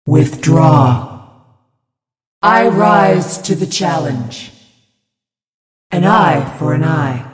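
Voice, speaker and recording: A woman playing a serene, devout female spirit healer in a video game, speaking in a measured, ceremonious, pious way. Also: deep voice, expressive, far from the mic, heavily acted. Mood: solemn, judging. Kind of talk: video game character voice lines. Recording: reverberant hall effect, music behind.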